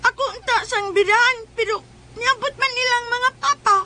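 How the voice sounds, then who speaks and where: A very high, sharp little child voice with a Cebuano accent, whining and complaining to papa. It comes from a slightly noisy home-made comedy audio drama. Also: steady tone, moderate pace, full character voice. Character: young school child tattling to papa, whiny, hungry. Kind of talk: comedy radio-style skit in Bisaya.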